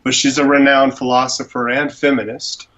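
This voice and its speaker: A man's voice: medium pitch, flat delivery, moderate pace, sharp tone. Enthusiastic man on a muffled phone line. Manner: enthusiastic, gushing about a speaker. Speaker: animal rights attorney, guest. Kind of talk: phone interview.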